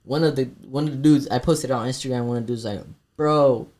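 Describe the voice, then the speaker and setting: A man working as a barber, guest on a podcast, telling a story in a soft-spoken, laid-back way and calling everyone "bro". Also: medium pitch, fairly even intonation, fast. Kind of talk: casual storytelling.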